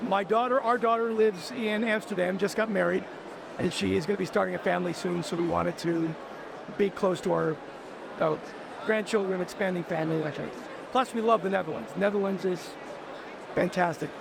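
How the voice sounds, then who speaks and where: A man's voice: sits high, fairly even intonation, a little fast, creaky. An older man from New City, answering enthusiastically with stammering restarts. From New York City. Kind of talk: casual interview answers. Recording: loud crowd and noise at a fair.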